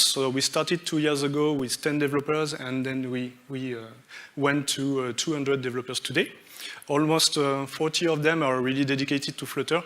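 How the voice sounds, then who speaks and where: A man giving a conference talk on stage, halting with many fillers and restarts as he lists his points. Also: fairly high pitch, fairly bright tone. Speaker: conference speaker.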